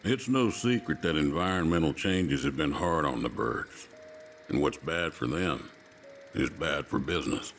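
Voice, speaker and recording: An actor playing a folksy, plainspoken middle-aged cowboy with a Southern American drawl in a video game. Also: male voice, rumbling low, animated, measured pace, fairly bright tone, faint music behind, exaggerated voice. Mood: grave, storytelling. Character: folksy, plainspoken older male cowboy racer. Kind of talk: dramatic video game dialogue.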